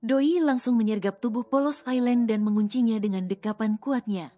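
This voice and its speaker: A woman narrating a romance audio novel in a steady, smooth storytelling manner. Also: on the high side, normal speed. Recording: soft music underneath.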